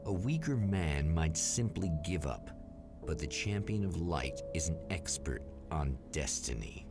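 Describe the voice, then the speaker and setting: Creepy twilight-style tv narrator, really deep n slow, portentous, loud music behind him. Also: male voice, lively intonation. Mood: ominous, portentous. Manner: portentous, measured, theatrical. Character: ominous, wry male narrator of an eerie anthology TV show. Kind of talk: scripted dramatic TV narration in Twilight Zone manner.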